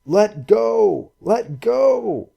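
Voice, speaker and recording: A man voicing a desperate, angry young man who shouts and bellows. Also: on the low side, unhurried. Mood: shouting. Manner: shouting across surf.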